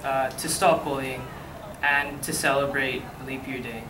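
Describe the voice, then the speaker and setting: A teenage boy reporting back at a student meeting, off-mic with clear room noise. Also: medium pitch, fairly even intonation, measured pace. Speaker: student council member. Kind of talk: informal recounting. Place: school classroom, indoors. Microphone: distant camera microphone. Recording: echoey, distant, noisy room.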